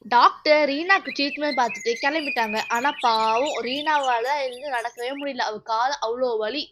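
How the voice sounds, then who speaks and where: A woman's voice: sits high, moderate pace, fairly bright tone, a little hiss or hum. A woman narrating a children's animal story in a scripted storytelling style.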